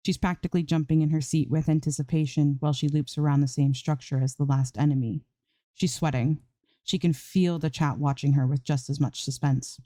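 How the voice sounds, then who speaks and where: Low, mellow woman reading a story. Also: fairly even intonation, fairly quick, dry recording. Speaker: amateur fan fiction narrator. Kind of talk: scripted story narration.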